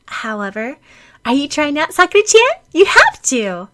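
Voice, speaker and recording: A woman voicing an excitable, eager schoolgirl in an audiobook of anime fan fiction, gushing and bubbly. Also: high-pitched, moderate pace, voice pushed up, exaggerated voice.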